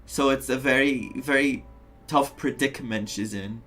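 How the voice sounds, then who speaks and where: A man's voice: medium pitch, normal speed, fairly bright tone. A man giving casual live commentary on a video game, empathetic and reflective, repeating himself for emphasis. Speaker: game streamer.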